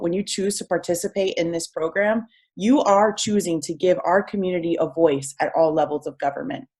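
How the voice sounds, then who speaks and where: Earnest young woman, medium pitch. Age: young adult. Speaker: young adults' group speaker. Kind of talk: prepared advocacy speech.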